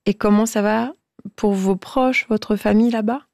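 A woman hosting a radio interview, asking encouraging, gently probing questions. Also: mid-range voice, fairly even intonation, moderate pace, gravelly, no echo, clean studio sound. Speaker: radio host.

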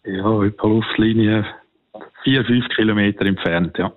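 A man's voice: fairly low pitch, fairly even intonation, moderate pace. A man with a Swiss German accent chatting casually as a contestant calling in on a muffled phone line. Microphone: telephone.

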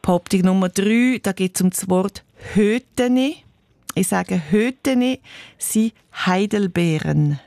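A woman's voice: mid-range voice, unhurried, dark tone, dry recording. A woman with a Swiss German accent hosting a radio quiz, chatty and upbeat as she asks her guest questions.

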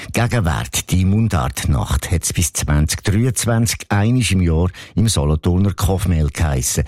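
A man introducing a broadcast in a measured, informative way. His voice sits very low, recorded dry and close with no room around it. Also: a little mellow, no room sound. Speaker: radio presenter.

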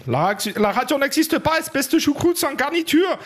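A very high-voiced, bombastic German court cook in a radio comedy sketch. He has a thick, exaggerated German accent and a pompous, scolding way of talking, full of florid insults. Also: animated, moderate pace. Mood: pompous, scornful.

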